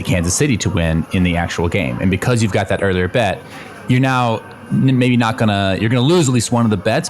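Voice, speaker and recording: A man improvising a radio-play role as a concerned, awkward office colleague, reasoning tactfully and haltingly. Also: low-pitched, a little hiss or hum.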